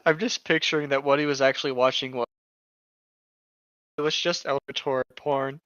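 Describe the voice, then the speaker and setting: A man's voice: fairly high pitch, sing-song, unhurried, fairly bright tone, smooth, no echo. Man joking on a dull, lo-fi mic. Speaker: podcast co-host. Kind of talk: casual comedic chat while reading horror stories aloud. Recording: dull lo-fi microphone sound.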